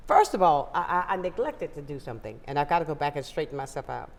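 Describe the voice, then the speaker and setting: Animated upbeat woman talk show host. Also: low-pitched, quick pace, creaky, in a large hall. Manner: warm, emphatic, upbeat. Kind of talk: local morning talk show. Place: local TV studio.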